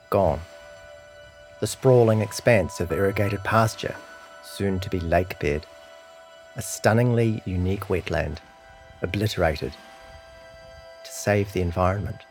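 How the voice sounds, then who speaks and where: A man's voice: low-pitched, measured pace, long pauses. A man reading a scripted longform article as narrator. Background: guitar music.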